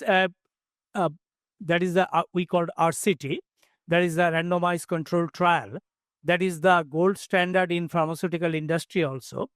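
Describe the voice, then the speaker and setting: A man giving explanatory answers as an expert panelist, halting and repeating words for emphasis. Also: high voice, fairly bright tone, no room sound, studio quality.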